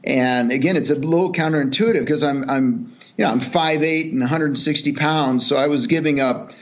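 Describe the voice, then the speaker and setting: A man's voice: a little high, moderate pace, dull audio. A middle-aged man from El Salvador, a guest telling his story in an earnest, reflective way with many fillers and restarts. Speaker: podcast guest, charity executive. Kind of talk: relaxed storytelling interview.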